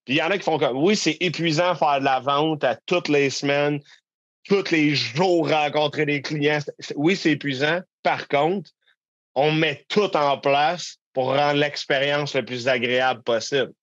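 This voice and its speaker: A man's voice: a little high, measured pace, a bit bright, no room sound, dull audio. Emphatic Québécois man in his thirties. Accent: Québécois French. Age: 30s. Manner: candid, emphatic, slangy. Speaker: podcast co-host. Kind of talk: casual business chat.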